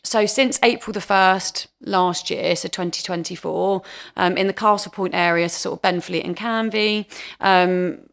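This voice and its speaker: A woman's voice: lowish voice, a little slow, vocal fry, fairly bright tone. A woman answering questions at a council committee meeting, hesitant and full of fillers. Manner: hesitant, many fillers and "sort of". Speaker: water company representative.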